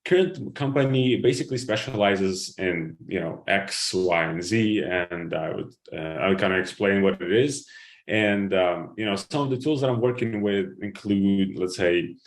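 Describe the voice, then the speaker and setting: A man from Ukraine, a guest answering interview questions on a video call in a relaxed, explaining way. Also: low voice, normal speed. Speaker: guest, QA engineer.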